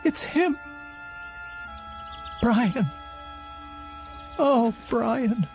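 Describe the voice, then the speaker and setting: An actress playing a solitary, anxious and insistent elderly invalid in a radio drama. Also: female voice, a little high, animated, drawn-out words, a little mellow, faint music behind, full character voice. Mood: grieving. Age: older.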